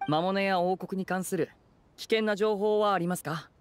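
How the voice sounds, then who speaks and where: An actor playing a courteous, smooth-talking teenage magician in an anime game. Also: male voice, high-pitched, moderate pace, slight background noise, natural voice. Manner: courteous, persuasive.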